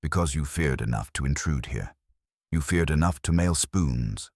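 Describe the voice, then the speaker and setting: A man's voice: rumbling low, lively intonation, normal speed, a little mellow, no room sound, studio quality. A man reading the part of a stern, imperious older detective in formal, clipped, declarative sentences for an audio mystery. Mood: certain, accusing.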